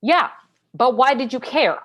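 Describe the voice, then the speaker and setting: A woman's voice: high-pitched, expressive, a little slow. A woman acting an exasperated, combative military defense lawyer in a stage play read-through, pressing hard with sarcastic questioning. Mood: angry, insistent.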